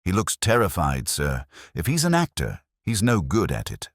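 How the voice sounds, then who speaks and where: A man's voice: low voice, huge pitch swings, normal speed, dry recording, studio quality, exaggerated voice. A man voicing a plain-spoken, observant police sergeant with an understated, muttering delivery in a mystery story. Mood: frank, observant.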